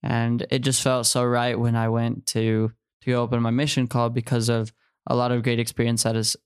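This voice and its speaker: Young man, smooth and even-toned. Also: mid-range voice, no room sound, studio quality. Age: early 20s. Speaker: podcast guest, returned missionary. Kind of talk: personal storytelling in an interview.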